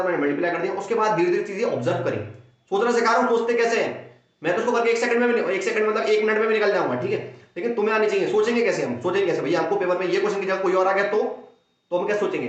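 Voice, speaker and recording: A young man with a sore throat races through a maths lesson, bursting with energy and voicing his students' questions and answers himself. His voice sits a little high, and it rings around a very echoey room on a dull, lo-fi recording. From India. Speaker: maths teacher. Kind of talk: fast Hindi exam-prep lesson.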